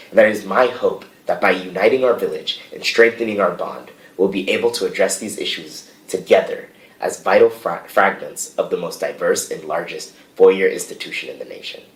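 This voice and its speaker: Young guy reading a formal speech to a board, real low voice, sounds far from the mic. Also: expressive, brisk, few pauses. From United States. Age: young adult. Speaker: student association president. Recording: distant, echoey remote audio.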